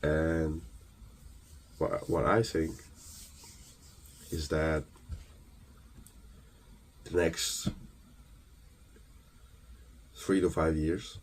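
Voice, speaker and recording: A man from Ukraine delivers an earnest, emphatic motivational monologue, halting between phrases and posing rhetorical questions. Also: very deep voice, fairly even intonation, slight background noise. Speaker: motivational speaker.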